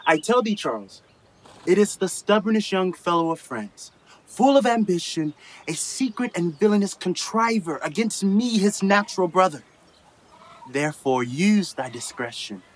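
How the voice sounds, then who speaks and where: A young man playing a bitter, scheming elder brother, a haughty nobleman, with venomous, deliberate Shakespearean delivery in a radio play. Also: fairly high pitch. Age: young adult.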